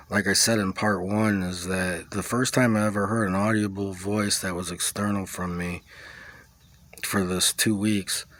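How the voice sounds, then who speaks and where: Low-voiced man telling a rambling personal story. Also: fairly bright tone. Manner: informal, peppers talk with "you know", "like" and "man". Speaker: vlogger recounting own experience.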